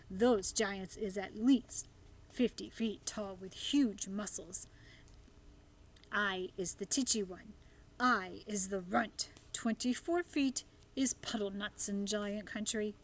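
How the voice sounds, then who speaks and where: A woman's voice: medium pitch, slow, poor audio. A woman voicing a big friendly male giant in a storybook, with garbled folksy grammar and made-up words. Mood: emphatic.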